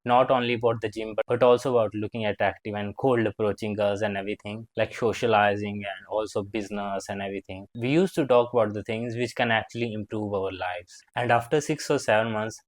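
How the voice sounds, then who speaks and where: Young guy in his 20s rambling thru a story, lowish voice, lots of "like", dry close sound. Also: fairly even intonation, brisk, few pauses, no room sound. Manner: rambling, filler-heavy, with frequent "like" and non-native grammar slips. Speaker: fitness vlogger. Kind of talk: casual storytelling to camera.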